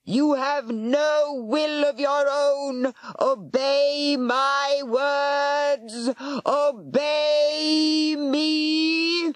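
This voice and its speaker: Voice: sits high, fairly even intonation, very slow, no room sound, pitched above the performer's own voice. A narrator voicing a cruel male warlock who growls and snarls his threats. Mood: commanding.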